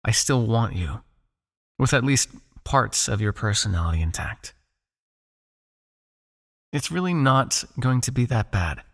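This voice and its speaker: A man acts out a possessive male vampire captor in an intimate hypnosis roleplay. His mid-pitched voice has a heavy, crackling fry. He speaks straight to the listener, coaxing and teasing as a captor sure of his hold. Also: fairly bright tone, full character voice. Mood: coolly menacing, coaxing. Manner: coaxing, teasing, with pauses, sighs and murmured asides.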